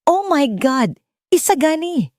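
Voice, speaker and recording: A woman voicing a melodramatic, accusing woman who poses as a protective mother, shrill and indignant. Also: animated, slow pace, darkish tone, no room sound, studio quality. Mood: alarmed.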